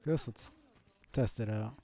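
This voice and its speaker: A man streaming a video game with casual commentary, musing and thinking aloud in a low-key way. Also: a little slow, warm tone.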